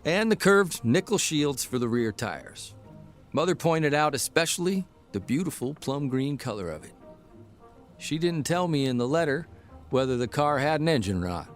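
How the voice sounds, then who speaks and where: Soft music sits under a man playing a folksy newspaper columnist in a radio drama. He speaks at a medium pitch in a homespun, conversational storyteller's way, with a dry wryness. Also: natural voice. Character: folksy newspaper columnist, a worried, tender husband.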